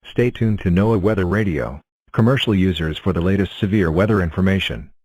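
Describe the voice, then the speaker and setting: A man reading scripted emergency weather alerts as a broadcast announcer, in a formal, impersonal and measured manner. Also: low-pitched, very noisy.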